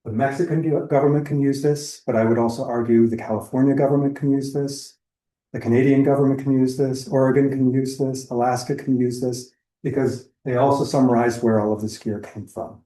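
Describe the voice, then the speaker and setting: A man's voice: medium pitch, steady tone, fairly quick, mellow, echoey room, low-quality recording. A man giving a public lecture in a conversational, explanatory way, listing examples as he goes. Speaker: lecturer on whale entanglement response. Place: lecture hall.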